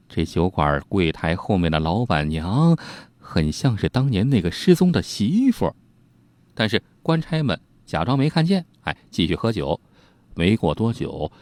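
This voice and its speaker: A man narrates an old crime story like a folk storyteller. His voice sits low and moves around with great animation, and he chats to the listener in asides. The sound is dry. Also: no echo. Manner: conversational, with spoken asides and filler particles. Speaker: storyteller host.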